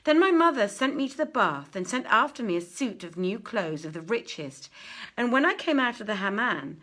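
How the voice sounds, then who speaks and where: A woman reading an old-fashioned tale aloud as a storyteller, expressively, with deliberate phrasing and dramatic pauses. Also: a little high, very animated, fairly bright tone.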